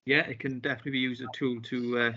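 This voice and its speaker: A man hosting an online business meeting, polite and filling pauses with "um" and "uh" as he restarts phrases. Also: mid-range voice, level tone, bright, forceful tone. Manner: polite, with frequent fillers and restarts. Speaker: business club host. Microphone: video call. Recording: dull lo-fi video call audio.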